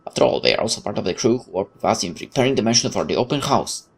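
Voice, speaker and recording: A man's voice: lowish voice, animated, very fast, creaky, slightly rough. A man reading visual novel narration aloud dramatically, with casual asides as a gaming host.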